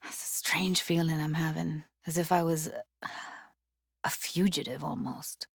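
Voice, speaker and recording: A woman's voice: deep voice, level tone, measured pace, creaky, slightly rough, dry recording, studio quality, full character voice. A woman playing a guarded, blunt but tender young techie in a video game, speaking in a casual, clipped, streetwise way. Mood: reflective, tender. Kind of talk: naturalistic video game voice acting.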